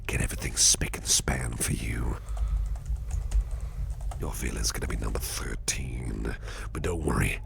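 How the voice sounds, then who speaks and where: Casting: male performer as attentive, flirty boss for whispered ASMR roleplay; very slow, rumbling bass, breathy, soothing and protective. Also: noisy background, exaggerated voice. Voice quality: breathy whisper, very low and gravelly. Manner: unhurried, reassuring, personal attention to listener. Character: attentive businessman boss, doting, softly flirtatious.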